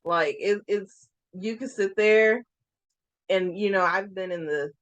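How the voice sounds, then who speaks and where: A woman's voice: mid-range voice, slow pace, no echo. Chatty woman, Californian with Southern lilt. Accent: Californian with a slight Southern lilt. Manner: chatty, animated, laughs easily. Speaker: co-host. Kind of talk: casual chat.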